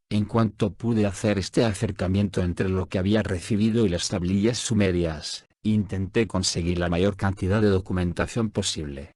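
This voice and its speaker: A man reading both the questions and the answers of an interview aloud in a measured, even voice-over. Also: low-pitched, no echo, studio quality. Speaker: voice-over reader of a written interview.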